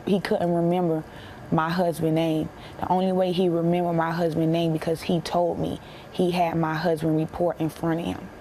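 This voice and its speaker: A young American woman speaks in a low, level voice as an interviewee. Her telling is plain and halting, with emphatic repetition. There is some background noise on the recording. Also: warm tone. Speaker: interviewee, bereaved military widow. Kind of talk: emotional personal account in a broadcast interview.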